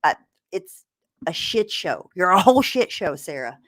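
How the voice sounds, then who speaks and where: A woman's voice: lowish voice, big pitch swings, moderate pace. A woman on a talk panel, chatting informally in a blunt, scornful manner. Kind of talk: casual gossip chat.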